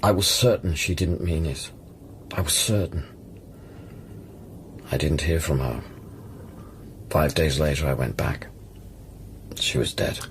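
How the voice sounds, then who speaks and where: Low-voiced, haunted space station scientist. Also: male voice, a little slow, long pauses, a little hiss or hum. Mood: grieving, guilty. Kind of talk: audio drama acting.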